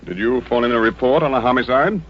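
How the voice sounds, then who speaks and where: An American man playing a calm, authoritative homicide inspector in an old-time radio detective drama. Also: medium pitch, quick pace, breathy edge, natural voice. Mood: cool, businesslike. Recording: dull noisy old radio recording.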